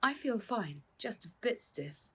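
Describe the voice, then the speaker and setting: A woman reading the part of a battered, genial, gentlemanly uncle coming round in a children's storybook. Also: mid-range voice, big pitch swings, a little slow, husky, voice pushed up, exaggerated voice. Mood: recovering, curious.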